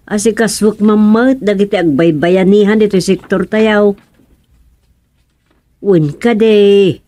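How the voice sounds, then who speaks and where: A woman's voice: mid-range voice, steady tone, slow pace, warm tone, dry recording, natural voice. Woman playing helpful Ilocano village woman, close dry sound. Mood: helpful, matter-of-fact. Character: helpful, cooperative village woman in a community clean-up. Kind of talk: radio drama comedy acting.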